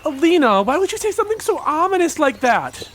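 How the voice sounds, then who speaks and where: A woman's voice: sits high, very animated, brisk, slight background noise. A woman playing a nervous, hopeful young member of a group of friends in an audio drama. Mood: anxious.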